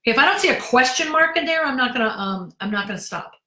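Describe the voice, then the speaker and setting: A middle-aged woman acting coach answering viewer questions in a direct, brisk way. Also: sits high, animated, bright, forceful tone, echoey room.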